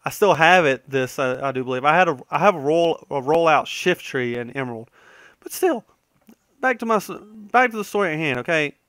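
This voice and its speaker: A man's voice: a little high, animated, a little fast, fairly bright tone, clean tone, no room sound, clean studio sound. A man giving casual, rambling gaming commentary, conversational and opinionated, often trailing off and correcting himself. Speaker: gaming video host.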